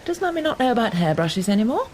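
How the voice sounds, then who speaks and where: A woman's voice: on the high side, big pitch swings, quick pace, darkish tone, a little hiss or hum. A woman playing a sardonic, snobbish older grandmother with a dry, arch, tactless manner in a radio drama. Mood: sardonic, impatient.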